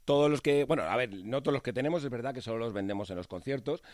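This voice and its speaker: A man's voice: mid-range voice, quick pace, darkish tone, dry recording, studio quality. A man with a Madrid Spanish accent, chatting informally and jokingly as a musician in an interview. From Spain, Madrid. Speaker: musician, band member interviewed. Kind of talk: casual interview chat.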